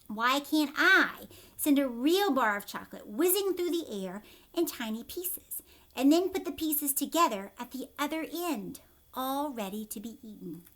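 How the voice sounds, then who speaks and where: A woman voicing an excitable, bossy male chocolate inventor in a children's read-aloud, exclaiming emphatically like a showman. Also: high voice, a little slow. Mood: eager.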